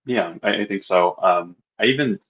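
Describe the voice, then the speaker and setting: A man's voice: low-pitched, normal speed, dull audio. A man co-hosting a podcast, thinking aloud with hesitant restarts. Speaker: podcast co-host. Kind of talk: casual sports chat.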